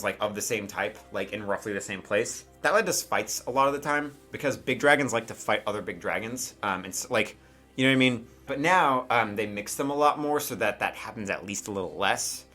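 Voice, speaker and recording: Male game master, bright tone, chatty and informal, improvising narration for a tabletop role-playing game. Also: lowish voice, normal speed, soft background music. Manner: casual, conversational.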